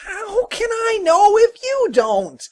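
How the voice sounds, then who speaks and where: A storyteller voicing a tiny, timid tinker, a grumbling little man who pleads and stammers in a small, high voice. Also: sing-song, takes it slowly, heavily acted. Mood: vexed, aggrieved.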